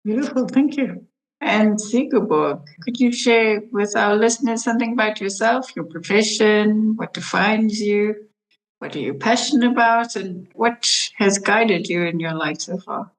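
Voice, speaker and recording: Gentle woman podcast host. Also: mid-range voice, unhurried, poor audio. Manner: gentle, affirming. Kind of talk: interview hosting.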